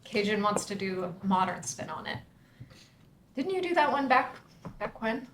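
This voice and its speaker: An actress reading an earnest, playfully wistful daughter who runs a theater, in a screenplay table read. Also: female voice, mid-range voice, very animated, normal speed, close to an everyday voice. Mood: tentative. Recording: echoey room with clear background noise.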